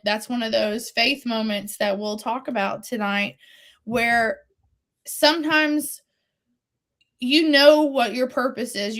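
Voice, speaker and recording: A woman's voice: fairly high pitch, fairly bright tone. Earnest woman in her forties, reflective. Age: 40s. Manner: earnest, faith-driven, with laughs and restarts. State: voice recovering after loss. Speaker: singer-songwriter guest. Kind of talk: reflective interview talk.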